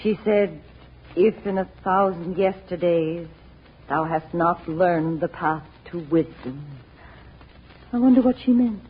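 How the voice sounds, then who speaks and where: A woman's voice: lowish voice, slow, breathy edge, exaggerated voice. An actress in 1940s radio style playing a troubled mother, earnest and anxious after a supernatural fright. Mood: dazed, insistent. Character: troubled, dazed mother shaken by a supernatural encounter. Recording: dull old radio recording, loud music.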